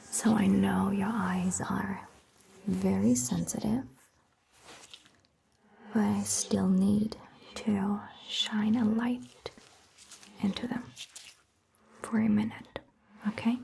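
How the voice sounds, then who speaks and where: A woman playing a gentle, reassuring vampire doctor in a whispered, breathy ASMR roleplay, soothing and patient. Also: medium pitch, steady tone, a little hiss or hum. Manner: slow, soothing, patient explaining.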